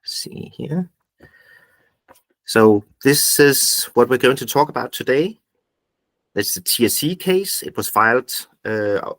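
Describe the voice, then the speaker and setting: A man with a Danish accent giving an informal presentation on a video call in a halting, pause-filled way. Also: mid-range voice, a bit bright, dry recording. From Denmark. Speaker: invited speaker, activist group founder. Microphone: video call microphone.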